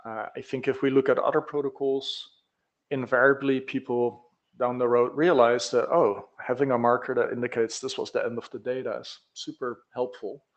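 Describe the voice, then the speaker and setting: Man, meeting chair, informal remarks, mid-pitched voice. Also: flat delivery, normal speed, lo-fi sound. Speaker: working group chair and participant.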